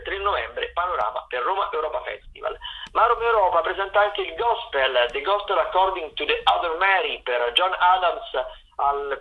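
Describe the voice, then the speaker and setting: A man hosting a radio programme, running through upcoming shows unscripted, in a halting, improvised way with drawn-out words and self-corrections. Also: fairly high pitch. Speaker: radio host presenting theatre listings. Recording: tinny, phone-like radio sound.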